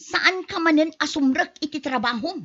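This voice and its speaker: A woman's voice: high-pitched, moderate pace, a bit bright. A woman playing an aggrieved young mother and fed-up wife, plaintive and nagging, in an amateur audio drama. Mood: fed up, scolding. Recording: dull lo-fi podcast audio.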